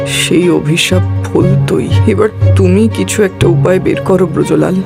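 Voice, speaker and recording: An elderly village matriarch, played by a woman, with a creaky voice and an insistent, worried manner. She sounds like the family authority in a horror cartoon, over loud music. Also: lowish voice, a little slow. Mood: grave, insistent. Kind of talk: Bengali horror cartoon dialogue.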